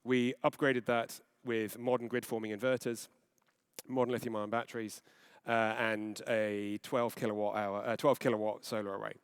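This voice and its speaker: A man giving a conference presentation, earnest and steady with occasional fillers and self-corrections. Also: fairly low pitch, normal speed, clear, resonant tone, no room sound. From Falkland Islands. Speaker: conference panel speaker.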